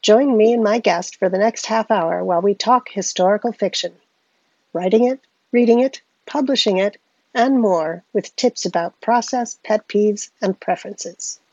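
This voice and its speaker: A woman hosts a podcast and interviews a guest author. She speaks with enthusiasm, welcoming her guest and leading the talk. Her voice sits at a middle pitch. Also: normal speed, breathy edge, lo-fi sound. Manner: enthusiastic, warm welcoming host. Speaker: podcast host.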